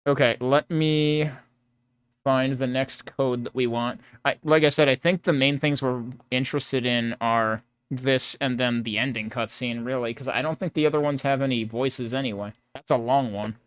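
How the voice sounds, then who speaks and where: Clear-voiced man chatting over a game. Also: medium pitch, steady tone, normal speed. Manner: rambling, thinking aloud. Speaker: game streamer. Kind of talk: casual playthrough commentary.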